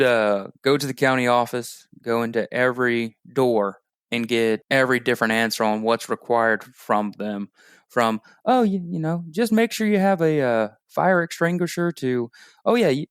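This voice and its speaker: Podcast guest: man, smooth clear voice, dry close sound, easygoing and chatty. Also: medium pitch, no echo. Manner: relaxed storytelling with frequent fillers. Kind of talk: casual conversation.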